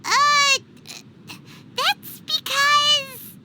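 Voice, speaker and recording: A woman voicing a tiny childlike floating companion in a video game, squeaky, cutesy, excitable and easily offended. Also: drawn-out words, background noise, heavily acted. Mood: indignant, flustered. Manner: cutesy, refers to self in third person.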